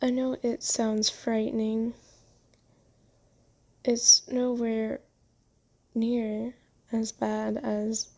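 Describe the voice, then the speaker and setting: A woman voicing a kind but possessive female vampire owner in an ASMR roleplay, soothing and genteel, coaxing gently in halting phrases. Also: a little high, creaky, heavily acted. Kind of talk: ASMR roleplay, scripted monologue.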